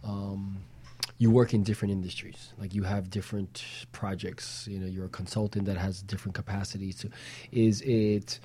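A man's voice: deep voice, little pitch movement, normal speed, dark tone, slight background noise. A man co-hosting a talk show, asking questions in a casual, conversational way.

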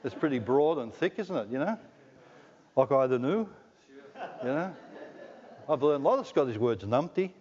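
A man's voice: mid-range voice, big pitch swings, moderate pace, pauses often, darkish tone. A middle-aged man with an Australian accent preaching a sermon in a conversational, joking way, often asking the congregation questions. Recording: dull lo-fi recording in a reverberant hall.

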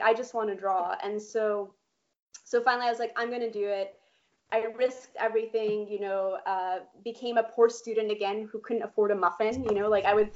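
A Polish-born woman in her thirties tells her story with animated, casual energy, swearing freely and laughing along the way. Her voice sits a little high. The recording is dull and lo-fi. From Poland. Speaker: guest animator. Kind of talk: candid interview storytelling.

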